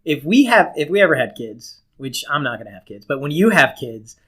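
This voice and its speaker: A man's voice: on the high side, very animated, a little fast, a bit bright, breathy edge. A man co-hosting a podcast in casual comedic banter, speaking with crude, profane, joking exaggeration. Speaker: podcast co-host.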